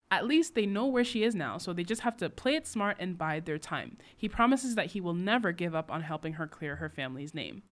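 A young woman, a book recap vlogger, narrating casually with wry, sarcastic asides. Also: on the low side.

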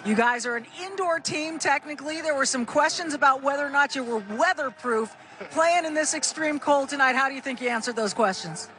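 A woman's voice: sits high, brisk. Woman sideline reporter on a cold field. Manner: upbeat, direct questioning. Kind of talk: post-game field interview. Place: outdoor stadium field, cold night.

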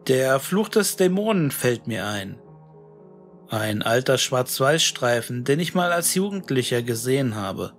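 Slow, brooding male horror narrator. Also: on the high side. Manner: deliberate, brooding, dramatic pauses. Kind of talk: scripted first-person creepypasta narration, musing monologue. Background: music.

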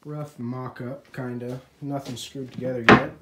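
A man's voice: medium pitch, little pitch movement, unhurried. A young man vlogging a DIY woodworking build in a farm shed and porch workshop, joking and self-deprecating as he talks into a nearby phone camera. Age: young adult. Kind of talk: casual workshop vlog. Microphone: phone camera held or propped nearby. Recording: echoey room with clear background noise.

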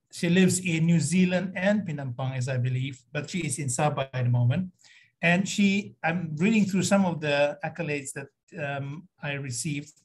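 A man with a fairly high, rough-edged voice introduces a guest at a semi-formal talk. His delivery is halting and hesitant, as he feels his way through each phrase as moderator.

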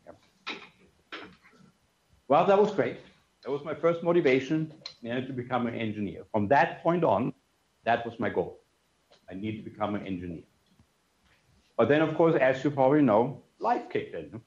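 An older man with a German accent lectures in a roomy, slightly muffled hall, his pitch rising and falling in lively swings. He tells stories casually, dropping in "you know" and "yeah" as he goes. His voice sits at a middle pitch for a man. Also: normal speed, long pauses. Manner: conversational, frequent "you know" and "yeah" tags. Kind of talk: memorial lecture with personal storytelling. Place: conference room with audience. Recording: roomy, slightly dull lecture room sound.

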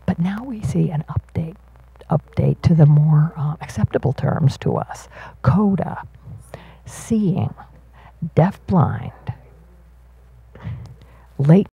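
Low, gravelly, husky woman interpreter. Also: animated, slow pace, pauses often, mellow, no echo, muffled recording. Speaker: sign language interpreter voicing a panelist. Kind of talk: live voicing of a signed panel talk. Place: auditorium stage panel.

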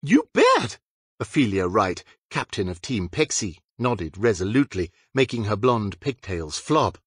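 A man's voice: lowish voice, animated, moderate pace, slightly rough, dry recording, studio quality. A man reading a scripted story as an audiobook narrator.

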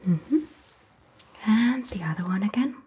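A young woman whispering softly as a doctor in an ASMR roleplay, gentle and reassuring, recorded close to the mic in a small exam-room set. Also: mid-range voice, animated, unhurried, slight background noise. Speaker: ASMR creator playing a doctor. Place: indoor set styled as a small doctor's office.

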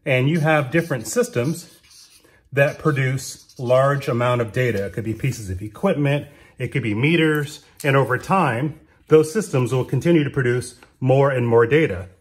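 A man giving a plain, steady whiteboard-style explanation while drawing on sticky notes at a desk. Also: mid-range voice, normal speed.

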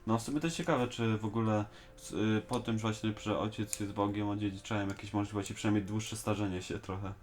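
A man's voice: fairly low pitch, steady tone, fast talker, hardly any pauses, a little hiss or hum. A man hosts a casual fan chat. He talks colloquially, with bits of slang, as if discussing a favourite series with a friend. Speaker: host of a fan discussion show.

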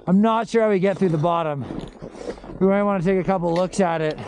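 A man giving casual, excited running commentary while skiing down a snowy mountain, filmed on a helmet camera, with some swearing and laid-back slang. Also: high voice, a little fast, background noise. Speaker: ski vlogger. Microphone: helmet-mounted action camera.

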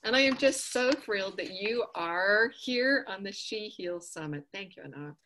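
A woman's voice: medium pitch, lively intonation, normal speed, sharp tone. A woman with a mid-range voice hosts an online event, introducing her guest in effusive, enthusiastic terms. She is heard over a video call. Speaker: host. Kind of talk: online summit interview.

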